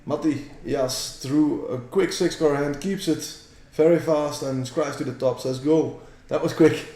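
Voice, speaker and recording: A man's voice: on the high side, a little slow, mellow. A Belgian man with a Flemish accent, a native Dutch speaker, casually commentating a card game stream in English and chatting with viewers. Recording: roomy echo.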